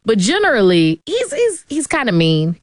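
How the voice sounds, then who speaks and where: A woman's voice: fairly high pitch, wildly swooping pitch, normal speed, no echo. Woman public radio host, dry studio sound. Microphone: studio microphone.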